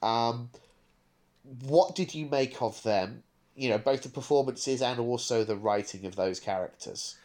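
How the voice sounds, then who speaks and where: A man with a medium-pitched voice hosts a casual podcast discussion. He talks in a discursive way, working out his thoughts as he goes. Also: a little slow, a little mellow. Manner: discursive, thinking aloud. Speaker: podcast host. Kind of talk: casual podcast review chat.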